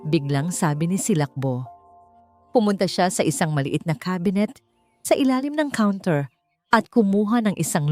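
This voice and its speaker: A woman's voice: lowish voice, dark tone. A woman with a Filipino accent narrating a scripted dramatic romance story, as a storyteller. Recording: music under the voice. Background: background music.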